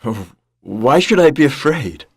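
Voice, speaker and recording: Guy acting a young sailor in a radio play, earnest n polite, lively up and down voice, dry sound. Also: mid-range voice, a little slow, husky, no room sound, exaggerated voice. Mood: frank, unafraid. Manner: earnest, formal. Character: gallant young male sailor, frank, respectful, unafraid. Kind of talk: audio drama acting.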